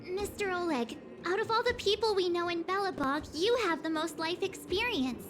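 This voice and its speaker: Very high-pitched earnest young girl. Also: moderate pace, over music. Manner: earnest, expressive. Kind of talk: video game voice acting.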